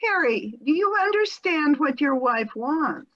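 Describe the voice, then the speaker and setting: A woman playing a patient, probing marriage counselor in a comedy sketch, measured and full of mediating questions. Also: high-pitched, sing-song. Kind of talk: readers theater comedy sketch. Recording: dull lo-fi sound.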